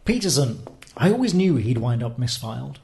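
An actor playing an unflappable, dryly witty male spy in a radio theatre drama, with deadpan, punning delivery. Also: mid-range voice, brisk, creaky, a little hiss or hum. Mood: wry grief. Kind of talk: scripted radio theatre acting.

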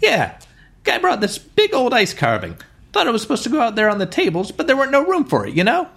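Gruff blue-collar caterer for radio-drama parody, impatient, sharp and forceful, wildly animated male actor. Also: very high-pitched, fast talker, full character voice. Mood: grumbling, chatty. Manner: uneducated colloquial grammar. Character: gruff, impatient working-class catering worker.

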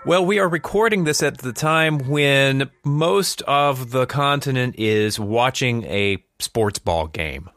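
A man's voice: medium pitch, lively intonation, takes it slowly, hardly any pauses, fairly bright tone, no echo, faint music behind. A man hosting a podcast, talking through news in a chatty, explanatory way and putting questions to a co-host. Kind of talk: conversational news commentary.

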